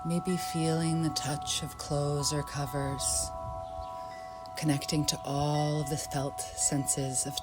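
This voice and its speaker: A woman leading a guided yoga nidra meditation in a soft, unhurried, inviting way. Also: deep voice.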